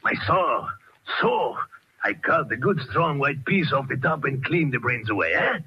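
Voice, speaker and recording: An actor playing a gloating, deranged old Frenchman with a French accent in a radio horror play, drawn-out and theatrical. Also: mid-range voice, animated, breathy edge, full character voice. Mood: coaxing menace. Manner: theatrical, drawn-out, chuckling, coaxing with "eh?". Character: mad, gloating old Frenchman who cleans bones. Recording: dull, noisy vintage radio recording.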